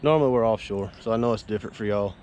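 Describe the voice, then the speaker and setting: Charter captain, 30s, deep-ish easygoing voice, outdoor action-cam sound with light background noise. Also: male voice, racing, darkish tone. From Florida Panhandle, US. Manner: easygoing, rambling, coaching kids between asides. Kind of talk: casual outdoor vlog talk. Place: outdoors by a neighborhood pond. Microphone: wearable action camera.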